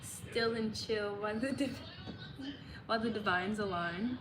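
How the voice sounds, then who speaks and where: A woman's voice: mid-range voice, measured pace. A young woman from Illinois in the American Midwest, chatting playfully on a livestream and answering viewers' guesses. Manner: playful, laughs often, guesses aloud at viewers' comments. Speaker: livestream host. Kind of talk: casual livestream chat reading comments. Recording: echoey room with clear background noise.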